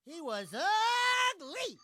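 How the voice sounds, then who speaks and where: A man's voice: extremely high pitch, big pitch swings, very slow, bright, forceful tone, exaggerated voice. An actor voicing a small, wisecracking male animal sidekick in a cartoonish game, brash and mocking. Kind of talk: cartoonish video game cutscene acting.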